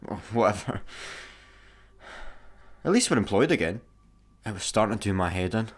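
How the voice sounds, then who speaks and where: An actor with a Scottish accent playing a daft, easygoing janitor in a sci-fi comedy radio play, casual and obtusely deadpan. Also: male voice, fairly low pitch, normal speed. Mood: casual, flippant. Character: daft, easygoing, literal-minded male slacker janitor.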